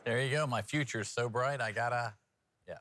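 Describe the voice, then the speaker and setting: A man's voice: mid-range voice, normal speed, pushed and bright, no room sound. A man working as a TV news anchor and reporter, with a folksy, conversational, jokey way of presenting.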